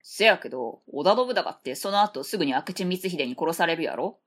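A woman playing a blunt, sulky fourth-grade boy who speaks flatly with a Kansai accent, in an amateur web radio drama. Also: on the low side, normal speed. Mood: cheeky. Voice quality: flat, sullen.

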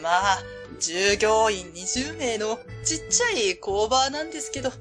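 Woman playing a high, lively, cheerful company boss. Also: measured pace, music in the background. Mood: cheerful, welcoming. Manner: friendly, animated, polite. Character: cheerful, welcoming woman running a small factory. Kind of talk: radio drama acting.

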